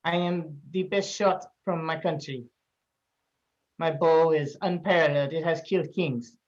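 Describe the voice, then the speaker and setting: Woman doing a Norwegian-accented archer, terse, cold, boastful, lowish voice, dull lo-fi mic. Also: fairly even intonation, measured pace, darkish tone. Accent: put-on Norwegian accent. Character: grim woman archer from a northern land. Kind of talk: in-character roleplay. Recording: dull lo-fi mic with faint noise.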